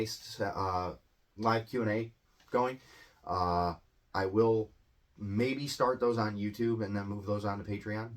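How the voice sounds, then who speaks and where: Low-voiced man rambling through a lesson. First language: English. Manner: rambling, filler-heavy, self-correcting. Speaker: English teacher. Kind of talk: casual explainer monologue.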